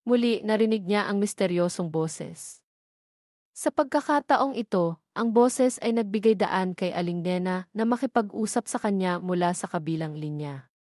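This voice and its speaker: A woman with a Filipino accent reading a romance story aloud as a steady, scripted narration. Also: mid-range voice, moderate pace, no echo, studio quality. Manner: steady storytelling read, voicing all characters lightly.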